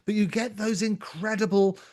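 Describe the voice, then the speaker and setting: Higher-pitched male interview host. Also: steady tone, normal speed, a little mellow. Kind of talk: conversational interviewing.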